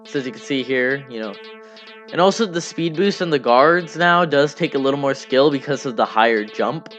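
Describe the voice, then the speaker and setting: A man giving casual, unscripted devlog commentary in a rambling, halting way, often repeating himself. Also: mid-range voice, animated, a bit bright. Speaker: hobby game developer narrating a devlog. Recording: dull lo-fi mic under music. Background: music.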